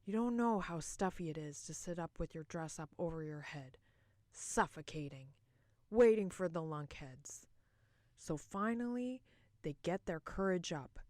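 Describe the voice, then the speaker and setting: A woman performing a theatrical monologue as a bitter, boozy middle-aged wife, mocking and self-loathing, with dramatic pauses and swings between loud and hushed. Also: fairly low pitch, expressive, no room sound, clean studio sound.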